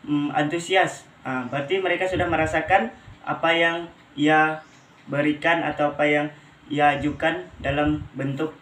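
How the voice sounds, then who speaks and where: A young man haltingly giving his opinion as a student interviewee in an echoey room. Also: fairly high pitch, fairly even intonation, a bit bright. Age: young adult. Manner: hesitant, halting. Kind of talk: spoken opinion to camera. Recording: echoey room with faint noise.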